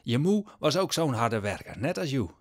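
A man's voice: medium pitch, sing-song. Man playing a worried old peasant father. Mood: tender, hesitant. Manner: halting, rustic, exasperated. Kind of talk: Dutch audio drama acting.

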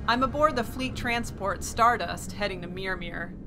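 A woman's voice: mid-range voice, moderate pace, over music, lightly acted. A woman playing a wry, matter-of-fact emergency shuttle pilot in an audio drama. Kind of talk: audio drama first-person narration and dialogue.

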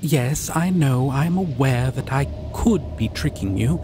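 A man voicing a shy, caring male dragon in an ASMR roleplay, talking softly and reassuringly. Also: mid-range voice, lively intonation, background noise, lightly acted. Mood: gentle. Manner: soft, reassuring, slow and hesitant. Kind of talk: ASMR roleplay audio drama.

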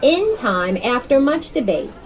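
A woman narrating a children's picture book in a deliberate, expressive storytelling manner. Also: a little high, vocal fry, some chatter behind. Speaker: picture-book author reading aloud.

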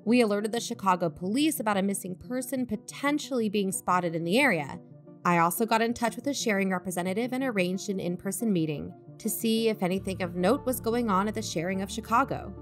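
A woman's voice: mid-range voice, brisk, faint music behind, close to an everyday voice. Woman acting as cautious, analytical investigative podcaster. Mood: sober narrating. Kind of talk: audio drama dialogue and narration.

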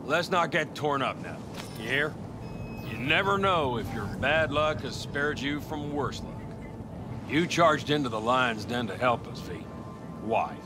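An actor playing a seasoned nomad cowboy in a video game, plain-spoken, folksy and philosophical. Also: male voice, medium pitch, lively intonation, a little slow, close to an everyday voice. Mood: gently reflective. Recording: music and noise behind.

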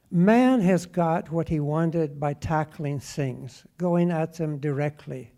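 A man, speaking deliberately and emphatically like a teacher as he makes a prepared comment from the audience. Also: on the high side, warm tone. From Norway. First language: English. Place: lecture theatre audience.

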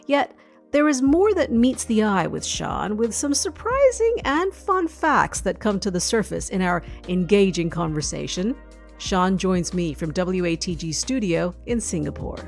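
A woman's voice: medium pitch, big pitch swings, a little slow, creaky, soft background music. Polished woman podcast host. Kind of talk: scripted introduction and interview.

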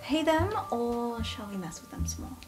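A woman's voice: on the high side, expressive, normal speed. A woman playing a tabletop roleplay game, improvising in casual talk. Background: background music.

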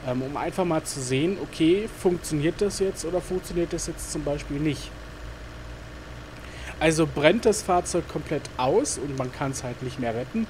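A man talks his way through a game in a loose, rambling let's-play style. His fairly high voice is full of fillers and asides muttered to himself. A faint noise sits under the recording. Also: lively intonation, normal speed, darkish tone. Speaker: gaming YouTuber. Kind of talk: casual let's-play commentary.

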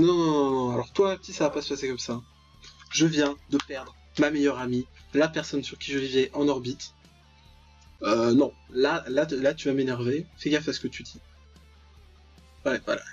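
Husky young Frenchman doing game commentary. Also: medium pitch, steady tone, moderate pace, long pauses, dull audio, soft background music. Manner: exclamatory, mock-dramatic reactions with slangy asides. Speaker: gaming video host. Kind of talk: casual let's play commentary on a visual novel.

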